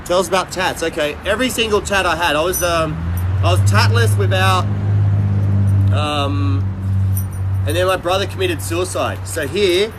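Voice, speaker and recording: Male livestreamer, middle-aged, higher voice, blunt and rambling casual delivery, clear traffic noise behind. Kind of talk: casual live chat with viewers.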